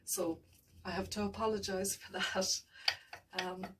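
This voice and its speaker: A woman's voice: medium pitch, level tone, a little slow, a bit bright, far from the mic, a little hiss or hum. A woman art tutor talking through her painting as she works, thinking aloud, often mumbling and trailing off.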